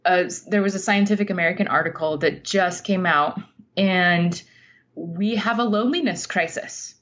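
A middle-aged woman speaking earnestly and thoughtfully as an interview guest, telling stories in halting phrases. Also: fairly low pitch, a bit bright, dull audio. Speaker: podcast guest, writer. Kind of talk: reflective interview conversation, storytelling.

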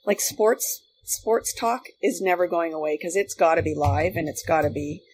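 A woman's voice: on the low side, normal speed, slight background noise, poor audio. A middle-aged woman in casual, rambling conversation as a podcast guest, often repeating her phrases. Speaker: podcast guest, former radio worker. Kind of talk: casual chat.

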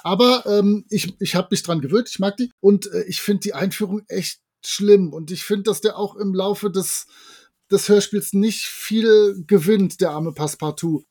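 A man's voice: high-pitched, moderate pace, darkish tone, husky. A man co-hosting a podcast, casually reviewing an audio drama in a colloquial, wry way. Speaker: podcast co-host.

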